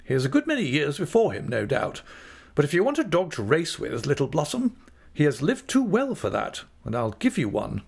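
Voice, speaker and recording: A man voicing a brisk, kindly older aunt in an audiobook, affectionate, cheerful and plain-spoken. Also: a little high, huge pitch swings, vocal fry, husky, pitched above the performer's own voice, exaggerated voice. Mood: brisk, emphatic.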